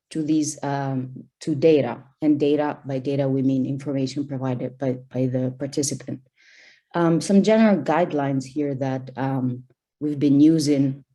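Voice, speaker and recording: A woman's voice: very deep voice, mellow. A young woman from Albania, a PhD candidate, presenting research over a video call with many fillers and restarts.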